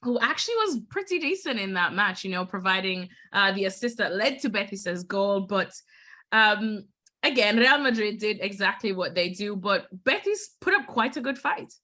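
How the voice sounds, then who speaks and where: A woman hosting a football talk show, chatting with enthusiasm in a slightly hoarse voice. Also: medium pitch, very animated, normal speed, bright, forceful tone. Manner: chatty, enthusiastic, many fillers. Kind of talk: casual football chat.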